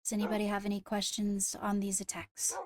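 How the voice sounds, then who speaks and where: A woman playing a composed court leader in a Minecraft roleplay, speaking formally and haltingly as she gives a briefing. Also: medium pitch, completely flat delivery, a little mellow, dry recording, studio quality, close to an everyday voice. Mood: measured, serious. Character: measured female court leader briefing on attacks. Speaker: roleplayer leading a court meeting.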